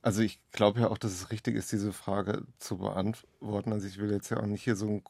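Halting, searching man in conversation. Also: fairly low pitch, flat delivery, creaky, a little mellow. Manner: halting, searching, self-interrupting. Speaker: author discussing his radio play. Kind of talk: unscripted panel conversation.

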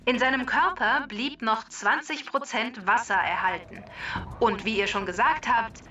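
A woman delivering scripted, factual explanations for children in a measured, instructive way. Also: on the high side, bright, forceful tone, distant mic, muffled recording. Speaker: expert explainer on a children's podcast.

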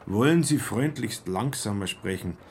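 A man's voice: lowish voice, animated, normal speed, a little hiss or hum. An actor playing a self-important young German salesman in a radio play, with stilted, over-correct speech. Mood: studious, reciting. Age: young adult.

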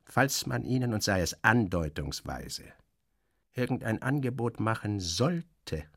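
A man reading a literary satire as a dramatic audiobook narrator, expressive and theatrical, acting out the dialogue. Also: fairly low pitch, measured pace, no room sound, clean studio sound.

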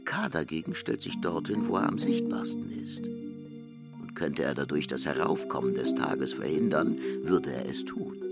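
Gravelly low-voiced man narrating with lively swings. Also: moderate pace, loud music behind the voice. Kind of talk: dramatic scripted literary narration, radio drama.

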